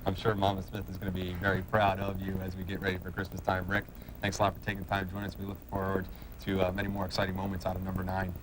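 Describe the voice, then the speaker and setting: Fast-talking male sports host, noisy recording. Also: low voice, completely flat delivery. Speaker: sports show host and play-by-play announcer. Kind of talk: sports television interview. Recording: clearly noisy background.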